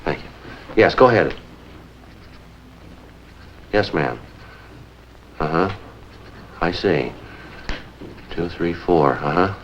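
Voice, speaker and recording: An actor playing a terse, businesslike police sergeant in a 1950s crime drama, clipped and matter-of-fact. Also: male voice, low-pitched, expressive, takes it slowly, pauses often, slight background noise. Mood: deadpan, businesslike. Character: terse, businesslike male police sergeant narrating his case. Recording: dull vintage 1950s soundtrack, music behind.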